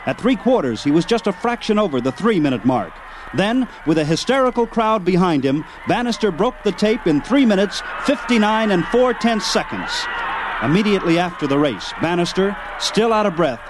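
A man's voice: high-pitched, sing-song, moderate pace, noisy background. A man narrating a scripted sports history documentary.

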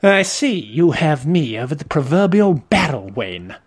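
A man's voice: a little high, big pitch swings, unhurried, heavily acted. A man voicing a polite, exasperated British man in a comic story, speaking in a prim, wordy, formal way. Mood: pleading. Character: polite, put-upon Englishman, prim and exasperated.

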